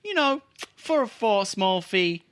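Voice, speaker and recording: A man voicing a young, cheeky, excitable street urchin boy in a tabletop roleplaying game, with slangy, childlike talk. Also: sits high, unhurried, clear, resonant tone, pitched above the performer's own voice, exaggerated voice. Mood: cheeky, menacing. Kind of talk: tabletop actual play character voice.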